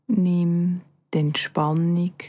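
A woman with a Bernese Swiss German accent guides a meditation as a yoga nidra instructor, calm and soothing, with long pauses and softly breathy phrase endings. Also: on the low side.

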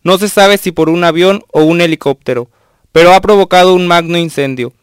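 An actor as a formal male newsreader in a radio drama. The high, very clean voice announces urgent news in a dry studio sound. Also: a little fast, clean tone, no echo, natural voice. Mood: urgent, serious.

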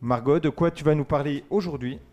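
A man's voice: a little high, brisk, warm tone, dull audio, soft background music. Chatty joking male radio host. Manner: joking, chatty, teasing the guests. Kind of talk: casual student radio show hosting.

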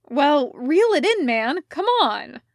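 A woman voicing a gruff, curt, crabby but soft-hearted man in a story. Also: high voice, very animated, slow, no echo, studio quality, voice pushed up, exaggerated voice. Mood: impatient. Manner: growling, curt, exasperated. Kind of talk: storybook character voice.

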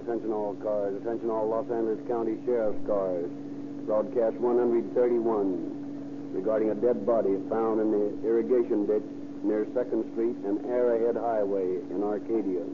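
Man playing a low-pitched 1930s police radio dispatcher, tinny sound. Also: flat delivery, normal speed, noisy background, natural voice. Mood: urgent, official. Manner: clipped, formal announcing. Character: brisk official male police radio dispatcher.

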